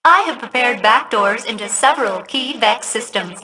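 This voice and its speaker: Bright, high female ship computer. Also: expressive, normal speed, slight background noise, poor audio. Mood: chirpy, polite. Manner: precise, falsely cheerful, sardonic. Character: female ship AI, chirpy politeness with sardonic undercurrent. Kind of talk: comic video game dialogue.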